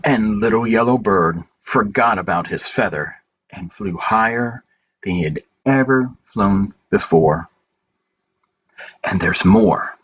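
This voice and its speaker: Male storytime reader, unhurried and simple for little kids, on a dull home recording with some background noise. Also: on the low side. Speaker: library storytime host. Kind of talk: children's storytime read-aloud with singing. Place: at home, indoors.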